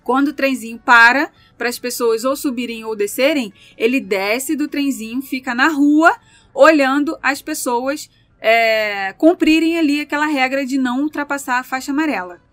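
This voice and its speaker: A woman's voice: high voice, moderate pace, fairly bright tone, no echo, faint music behind. A Brazilian woman with a Brazilian Portuguese accent, chatting casually and explaining things through lists of examples. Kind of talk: casual chat.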